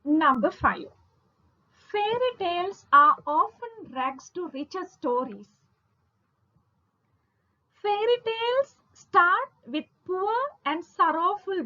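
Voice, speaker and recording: A woman giving a scripted literature lesson as a lecturer, in a deliberate, emphatic, instructional manner, on a lo-fi recording. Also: very high voice, very animated, bright, forceful tone. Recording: dull lo-fi audio.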